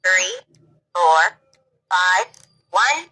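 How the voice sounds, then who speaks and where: A woman's voice: lowish voice, animated, drawn-out words, pushed and bright. A woman's synthetic voice making clipped automated announcements through a small device speaker. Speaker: walkie-talkie voice prompt.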